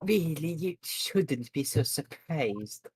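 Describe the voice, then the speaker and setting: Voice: medium pitch, expressive, slow, darkish tone, breathy edge. A streamer voicing a smug, evasive male witness in a fan dub of a game, speaking in a condescending way.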